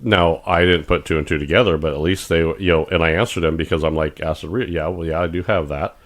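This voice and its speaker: Middle-aged male podcast co-host, extremely low voice, casual and rambling, stumbles and restarts. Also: fast talker. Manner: rambling, repeats words and restarts, animated when retelling doctors. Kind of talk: casual chat.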